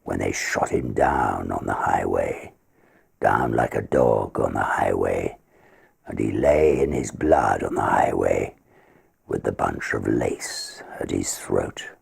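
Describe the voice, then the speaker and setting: A man with a gravelly, husky voice reading stories and verse aloud in a theatrical, expressive manner. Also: extremely low pitch, takes it slowly. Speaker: ghost story reader. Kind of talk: dramatic literary reading, verse and prose.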